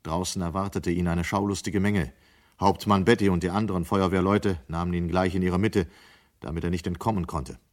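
A man's voice: deep voice, fairly even intonation, brisk. A man narrating a literary story as scripted narration in a 1970s German radio drama.

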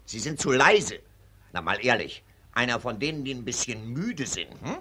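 An actor playing a pompous, condescending German colonel in a 1940s radio drama, barking and patronising. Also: male voice, mid-range voice, big pitch swings, normal speed, bright, forceful tone, slightly rough, full character voice. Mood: patronising, blustering. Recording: dated, gritty 1940s radio recording.

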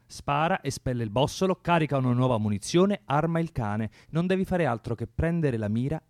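An actor playing a cold, doctrinaire communist militant in a radio drama, lecturing and provoking in a commanding way. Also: male voice, medium pitch, lively intonation, a little fast, a little mellow, dry recording, clean studio sound, close to an everyday voice. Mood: instructing.

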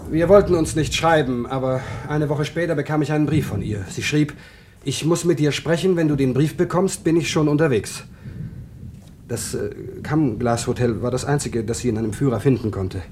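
Male actor: married man confessing, anguished, halting radio-drama delivery, slightly noisy recording. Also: mid-range voice, darkish tone, close to an everyday voice. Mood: confessional, troubled. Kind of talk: German radio drama acting.

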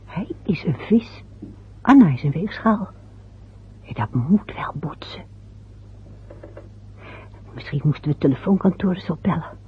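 A husky, chattering mother. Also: lowish voice, expressive, a little slow, long pauses, whispered, heavily acted. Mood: musing. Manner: chatty, muttering to herself. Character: middle-aged mother fussing over family and horoscopes. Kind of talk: radio play acting. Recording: dull lo-fi old radio recording.

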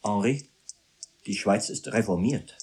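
An actor playing a brooding male private tutor who tells of revolution with passion, in a literary radio drama, his voice husky. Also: lowish voice, measured pace, darkish tone. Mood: wry.

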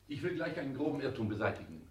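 An actor playing a cold, ambitious, self-important grown son with formal, clipped speech in a 1960s radio play, recorded roomy and slightly distant. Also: male voice, mid-range voice, sing-song, racing, natural voice. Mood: accusing, cold. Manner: formal, clipped, pompous declamation.

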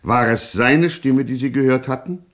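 A man acts a solemn announcer for a radio crime serial. His medium-pitched voice delivers mock-sensational narration with pompous weight. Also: moderate pace, lightly acted.

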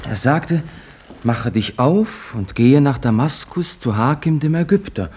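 An actor playing an earnest, devout young pilgrim who pleads and insists, in a 1950s radio play. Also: male voice, mid-range voice, animated, unhurried, a little mellow, breathy edge. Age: young adult.

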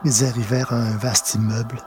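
A man narrating a literary story in French audio fiction, deliberately, pausing between short phrases. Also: medium pitch, flat delivery, over music.

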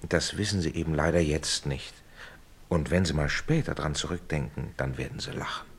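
An actor playing a courteous, paternal gentleman in a German radio play, speaking in a measured, reassuring and admonishing way. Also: rumbling low, creaky, slightly rough, heavily acted. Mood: earnest, reassuring.

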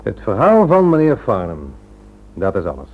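A man's voice: fairly low pitch, wildly swooping pitch, normal speed, heavily acted. A man playing a self-assured, sardonic and slippery police inspector in a radio drama, formal in manner. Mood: dismissive. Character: smooth-talking, condescending, slippery male police inspector. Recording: muffled old radio recording.

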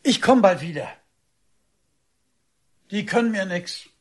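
A man's voice: high voice, animated, slow, heavily acted. A man acting as a brusque, defiant husband about to leave, in a radio drama. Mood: tense, brusque. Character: hurried, brusque husband being taken away.

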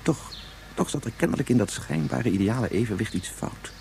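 An actor playing an anguished, regretful scientist of great age in a Dutch science fiction radio play, speaking haltingly and turning back on his own words. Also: medium pitch, lively intonation, gravelly, darkish tone, slight background noise. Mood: brooding, regretful. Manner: halting, repetitive, ruminative. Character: anguished 177-year-old scientist, brooding, regretful father.

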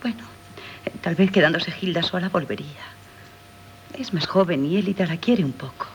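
An actress with a Castilian accent playing a yearning, emotional young stepdaughter in theatrical 1970s radio style. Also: female voice, fairly low pitch, normal speed, lightly acted. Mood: suspicious, reproachful. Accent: Castilian Spanish. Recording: old radio broadcast, background noise.

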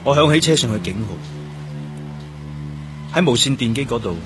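A man's voice: medium pitch, moderate pace, close to an everyday voice. An actor playing a grieving, haunted police detective who narrates his memories in a radio drama, brooding and solemn, with a Hong Kong Cantonese accent. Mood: brooding, sorrowful. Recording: music behind the voice.

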